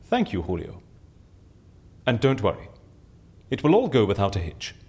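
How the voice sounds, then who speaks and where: An actor playing an exasperated, sardonic fixer of faked deaths in an audio drama, with a theatrical manner. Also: male voice, fairly low pitch, wildly swooping pitch, moderate pace, darkish tone, breathy edge, exaggerated voice. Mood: dry, composed. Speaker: fixer who stages fake deaths. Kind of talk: audio fiction acting.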